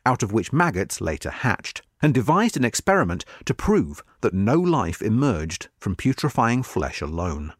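A man delivers scripted narration in a formal, measured manner. His medium-pitched voice is animated, rising and falling noticeably through each sentence. The recording is dry, with no room sound around the voice. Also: no echo. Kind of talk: scripted narration of a history article.

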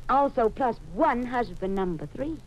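An actress playing a scheming, sugary Southern femme fatale in a 1950s radio crime drama, coy and cooing with a Southern accent. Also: female voice, a little high, expressive, a little slow. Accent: American Southern. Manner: coy, cooing sweet talk. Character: scheming honey-sweet Southern femme fatale plotting against her husbands. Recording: noisy old-time radio recording.

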